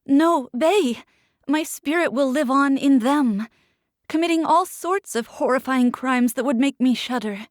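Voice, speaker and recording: A woman voicing a terrified young heroine who pleads in a high, desperate voice. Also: unhurried, no echo, clean studio sound. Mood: desperate pleading.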